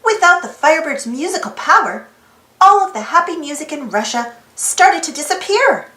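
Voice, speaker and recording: High-pitched, animated woman reading to children. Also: moderate pace, echoey room. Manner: expressive, addresses young listeners with questions. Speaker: storybook reader for children. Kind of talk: animated children's read-aloud narration.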